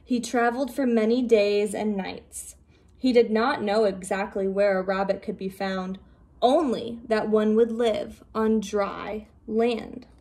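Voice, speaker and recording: Slow-paced woman reading a storybook. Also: medium pitch. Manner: measured, expressive storytelling. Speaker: storyteller reading a children's book aloud. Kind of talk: expressive read-aloud storybook narration.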